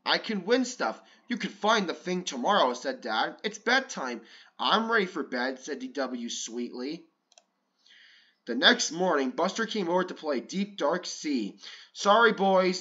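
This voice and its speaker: A man's voice: fairly high pitch, dull audio. A man reading a children's picture book aloud, changing his voice for the lines and stopping now and then to comment casually. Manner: breaks off to comment casually between pages; lively, with raised voices for excited lines. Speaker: read-along storyteller.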